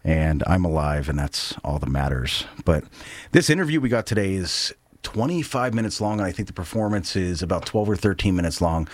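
A man's voice: deep voice, very animated, fairly quick, no room sound. A man in his forties hosting a casual band interview in a living room, rambling and friendly with many restarts. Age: 40s. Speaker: podcast host.

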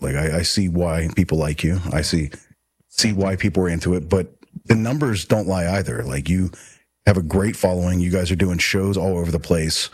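A man's voice: low voice, a little mellow, husky, no room sound. A man in his forties hosting a casual band interview in a living room, rambling and friendly with many restarts. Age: 40s. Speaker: podcast host.

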